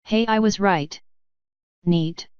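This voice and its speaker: A woman's voice: medium pitch, slow pace, a little mellow, smooth, no room sound, highs cut off, natural voice. A woman voicing a curious, sarcastic student of magic from another world, blunt and casual, in an audiobook.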